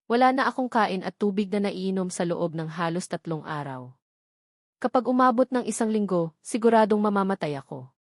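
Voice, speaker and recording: A woman's voice: mid-range voice, a little mellow, no echo, clean studio sound. A woman reading a dramatic first-person story as a scripted narrator. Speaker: narrator of a romance novel.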